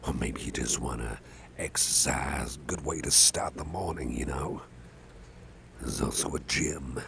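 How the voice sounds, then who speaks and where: Man acting a very deep, gravelly, caring boss. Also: unhurried, heavily acted. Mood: reassuring, relaxed. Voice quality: deep, gravelly, breathy at times. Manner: gentle, chatty, hesitant, reassuring. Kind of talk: ASMR roleplay, soft close personal talk. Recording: faint background noise.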